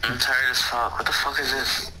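A man's voice: mid-range voice, level tone, normal speed, over a noisy crowd. A young man on a phone call, chatting casually with sarcastic, profane remarks. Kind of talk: casual chat.

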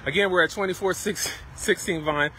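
A middle-aged man hosting a casual livestream as a farm stand vendor, with an enthusiastic, repetitive sales pitch. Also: moderate pace, sharp tone. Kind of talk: casual promotional livestream chat. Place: outdoors at a tented farm stand by a street. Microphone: handheld phone, around arm's length. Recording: noisy outdoor street-side phone recording.

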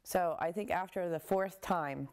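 Low, creaky-voiced woman. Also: unhurried, a little mellow. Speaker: parent being interviewed. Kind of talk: interview account. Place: living room, indoors.

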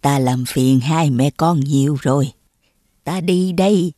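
Low-pitched old Southern Vietnamese beggar woman. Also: a little slow, mellow, no room sound, clean studio sound. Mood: reluctant, gentle. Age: older. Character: weary, grateful old beggar woman, gentle. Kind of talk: animated fairy-tale dubbing.

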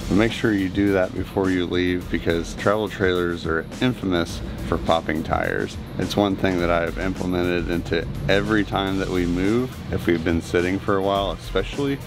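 A man in his thirties from Indiana, giving relaxed, matter-of-fact tips to a handheld camera outdoors at an RV campsite. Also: deep voice, moderate pace, few pauses, over music. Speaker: RV vlogger. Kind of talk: casual how-to tips to camera. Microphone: handheld camera close up.

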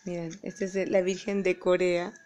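A woman in her thirties from Peru, chatting casually and softly to her phone, tired, with her voice going. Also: fairly low pitch, level tone, moderate pace, slight background noise. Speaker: pilgrim. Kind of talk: casual vlog. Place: hotel room, indoors. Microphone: phone camera at arm's length.

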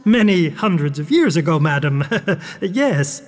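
A man's voice: big pitch swings, measured pace. A man voicing a centuries-old, obsequious and slightly dim court magician in a storybook. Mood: deferential. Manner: obsequious, booming, chuckling. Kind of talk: storybook character voice. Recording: long hall-like reverb.

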